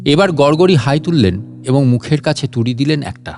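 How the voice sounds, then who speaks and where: A man's voice: medium pitch, animated, normal speed, vocal fry, darkish tone, long reverb tail, soft background music. A man narrating a comic story in a dramatized storytelling style.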